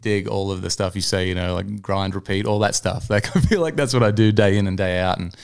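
A man's voice: sits low, brisk, vocal fry, clean tone. A man speaking as an interview guest, a singer-songwriter telling anecdotes in an easygoing, conversational way. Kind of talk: casual interview chat, anecdotes.

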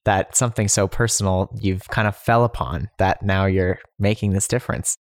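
A man with a fairly deep voice hosts a conversational interview. He sounds casual and attentive, and the sound is very dry, without room echo. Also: steady tone, moderate pace. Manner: attentive, frequent short responses, casual hedging. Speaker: podcast host.

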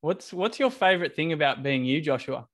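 Slightly higher-voiced male facilitator on a video call, casual and coordinating. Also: expressive, fairly quick, fairly bright tone. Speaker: workshop facilitator. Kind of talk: running an online group session.

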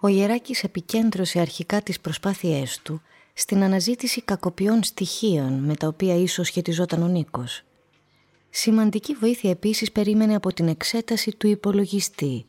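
A woman reading scripted narration for a radio play in a husky voice. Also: mid-range voice, darkish tone.

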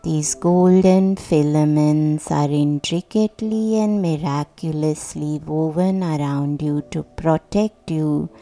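A woman guiding a sleep meditation in a soothing, hushed, deliberate voice with long pauses. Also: low-pitched. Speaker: meditation guide. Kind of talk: guided sleep meditation, scripted narration. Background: soft meditation music.

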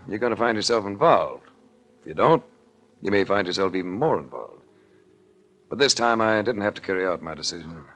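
Low-voiced, wry American radio detective. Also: male voice. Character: suave, wry, hard-boiled male insurance investigator. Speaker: lead actor and narrator of a detective series. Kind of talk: 1940s radio detective acting and narration. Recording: vintage 1940s radio recording.

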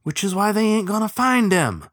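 A man's voice: high-pitched, big pitch swings, moderate pace, fairly bright tone, no echo, clean studio sound, voice pushed up, heavily acted. A man voicing a laid-back male otter who captains a riverboat, with an easygoing, lazy way of talking.

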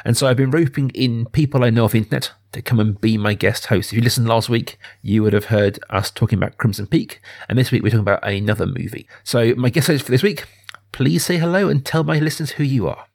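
A man's voice: mid-range voice, fast, creaky, a little mellow. A man in his thirties hosting a film podcast in a conversational style. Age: 30s. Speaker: podcast host.

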